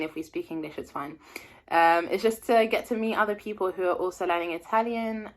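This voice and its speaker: Young woman doing a casual read-along, lowish voice, stops to guess words. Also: fairly bright tone. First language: French. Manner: reads slowly, pauses to translate and guess words. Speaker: language learner reading aloud.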